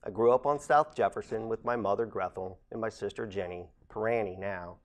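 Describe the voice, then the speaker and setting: A man speaks in a somewhat low voice. He talks in a measured, earnest, formal way. He is a local election candidate making his case to viewers. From Ohio, USA. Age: late 40s. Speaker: council president candidate. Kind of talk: campaign statement to camera.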